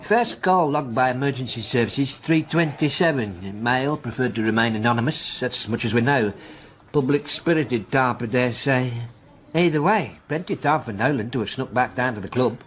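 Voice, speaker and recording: A man's voice: mid-range voice, lively intonation, normal speed, slight background noise, close to an everyday voice. A man acting a blunt, needling police detective in a radio drama, with a wry, colloquial manner. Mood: sceptical.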